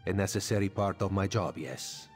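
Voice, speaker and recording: An actor playing a solemn cat-man royal agent and executioner in a video game, speaking in a measured, formal way. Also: deep voice, lively intonation, darkish tone. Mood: solemn, resolute. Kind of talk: video game character acting. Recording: music behind.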